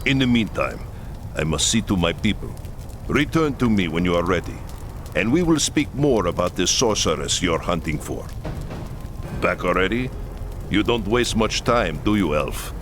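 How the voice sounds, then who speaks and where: An actor playing a proud, solemn leader of a war-torn camp in a fantasy game cutscene, speaking earnestly with music behind. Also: male voice, deep voice, big pitch swings, moderate pace, noisy background. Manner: earnest and solemn, pleading at times. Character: grave, proud Kislevite camp leader, middle-aged man. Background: orchestral game music.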